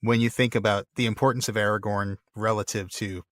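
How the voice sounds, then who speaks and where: A man's voice: on the low side, flat delivery, a little fast, fairly bright tone, no room sound, studio quality. A man co-hosting a podcast, reading listener mail and explaining word origins with enthusiasm, laughing often. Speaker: podcast co-host.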